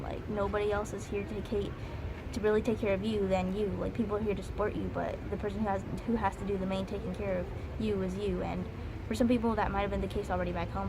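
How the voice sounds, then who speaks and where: A woman in her twenties with an American accent, chatting informally and reflectively to camera as a student vlogger, rambling and self-aware. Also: medium pitch. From United States. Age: 20s. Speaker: study abroad student vlogger. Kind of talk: casual reflective chat to camera. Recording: clearly noisy recording with ambient background.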